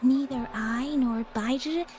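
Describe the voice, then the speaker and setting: An actress playing a calm young woman in a game who explains things in a measured, unhurried way. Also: high voice. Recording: game dialogue with soft music.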